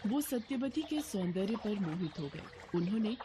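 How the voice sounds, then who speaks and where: A woman's voice: medium pitch, sing-song, moderate pace, background noise. A woman narrating a scripted devotional story as a storyteller. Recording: music bed behind voice.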